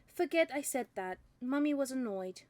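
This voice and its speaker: A woman reading the part of a friendly, brisk shopkeeper mother with a wry streak, in a storybook narration. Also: high voice, animated, darkish tone, voice pushed up. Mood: quiet, protective.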